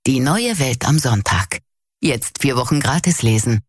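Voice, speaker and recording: An announcer reads a scripted radio advert in a husky, lively voice. The sound is very dry and close. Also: mid-range voice, unhurried, dry recording.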